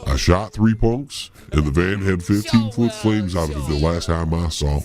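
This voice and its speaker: A man playing a crude, laconic cartoon tough guy with deadpan, folksy wit. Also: low voice, normal speed, music in the background, close to an everyday voice. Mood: wry. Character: laconic, crude, hard-drinking tough guy of misfit crew.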